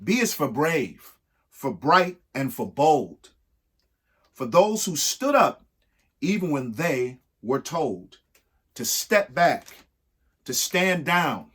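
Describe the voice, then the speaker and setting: A husky-voiced man reading a rhyming picture book aloud expressively, emphatic, with pauses between short phrases. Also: fairly high pitch, sing-song, fairly bright tone. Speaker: reader of a children's picture book. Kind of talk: expressive read-aloud of a rhyming alphabet book with spoken asides.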